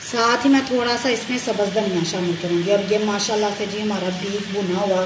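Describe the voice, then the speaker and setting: A woman's voice: medium pitch, steady tone, fairly quick, echoey room, noisy background. A woman hosting a home cooking channel, chatting politely through a recipe step by step and peppering her talk with blessings, recorded on a phone in her kitchen. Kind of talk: casual step-by-step recipe narration.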